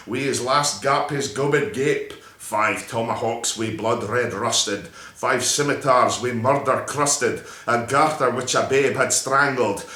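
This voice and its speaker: Echoey, forceful Scotsman reciting dramatic verse. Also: lowish voice. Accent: Scottish. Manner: theatrical, emphatic storytelling in broad Scots dialect. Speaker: poetry reader.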